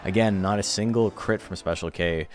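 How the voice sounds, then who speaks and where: A man's voice: low-pitched, moderate pace. A man streaming and commentating a video game tournament in a laid-back, wry, teasing way, calling viewers "brother" and "man".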